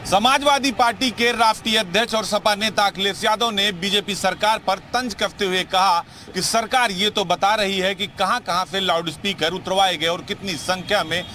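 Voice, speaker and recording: A man's voice: sits high, bright, forceful tone. A man narrating scripted news as a reporter.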